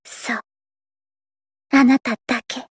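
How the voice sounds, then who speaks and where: Casting: very high-voiced teen gothic idol girl, anime game, grandiose yet shy, unhurried delivery. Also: steady tone, husky, dry recording, studio quality, full character voice. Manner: grandiose, dramatic archaic declarations, slipping into shy sweetness. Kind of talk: anime game character voice lines.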